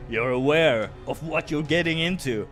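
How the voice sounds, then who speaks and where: A man's voice: on the high side, normal speed, a bit bright, lightly acted. Man putting on a throaty, growling wolf brute. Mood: stern, teasing. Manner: blunt, profane, taunting. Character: huge intimidating wolf adventurer, gruff, hot-tempered, menacingly teasing. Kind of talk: visual novel character voice. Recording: music behind the voice.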